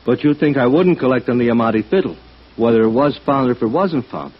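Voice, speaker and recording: An actor playing an excitable, rough-edged American booking agent, blustering and repetitive, in a 1950s radio drama. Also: male voice, medium pitch, fast. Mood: insistent. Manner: blustering, repetitive, ungrammatical tough talk. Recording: dull old radio recording.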